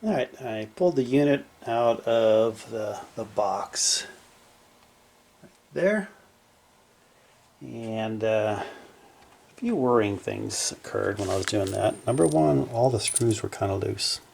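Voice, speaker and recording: A man giving casual, unscripted narration as a hobbyist repairer at his workbench, low-key and hesitant as he thinks aloud. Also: animated, slow pace, vocal fry, pauses often, darkish tone. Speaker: hobbyist electronics repairer presenting his project. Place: small indoor home workshop at a bench.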